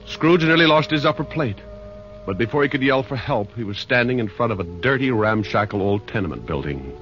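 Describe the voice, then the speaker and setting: An actor playing a wry, slangy storyteller who narrates a Christmas tale in a folksy 1940s radio manner. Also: male voice, mid-range voice, a little fast, natural voice. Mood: storytelling. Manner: folksy, colloquial, animated. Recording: dull old radio recording, music behind.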